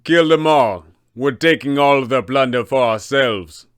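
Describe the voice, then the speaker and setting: A man's voice: medium pitch, a little slow, clean tone, dry recording, clean studio sound, close to an everyday voice. A man voicing a brutish, imposing pirate captain for a tabletop game, with a commanding, threatening manner. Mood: barking orders.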